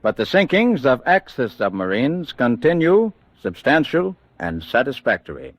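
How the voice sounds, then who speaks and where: A man's voice: medium pitch, sing-song, normal speed, dry recording. An actor playing an official spokesman reading a formal war statement in a radio drama. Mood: factual, satisfied.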